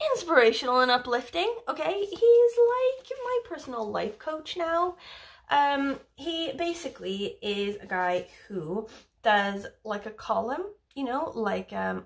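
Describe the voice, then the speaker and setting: A woman's voice: medium pitch, vocal fry. A young woman from Wales chatting casually about books, rambling with lots of fillers and the odd swear word. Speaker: book vlogger. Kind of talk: casual book review chat.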